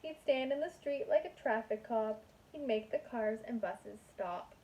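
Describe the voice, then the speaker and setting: A woman's voice: on the high side, a little slow, mellow, slight background noise, rough recording. A woman kindergarten teacher reading a picture book aloud to young children in a sing-song manner.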